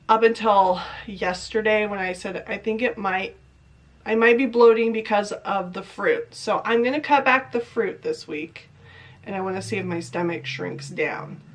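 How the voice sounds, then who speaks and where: A young adult woman with a stuffy, congested voice, chatting casually and rambling through her thoughts in a diet vlog. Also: mid-range voice. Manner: chatty, rambling, self-questioning. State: congested from a cold. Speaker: vlogger.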